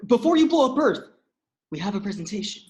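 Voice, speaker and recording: A woman playing an earnest, excitable young human in a comedy table read. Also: mid-range voice, quick pace, natural voice. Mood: determined.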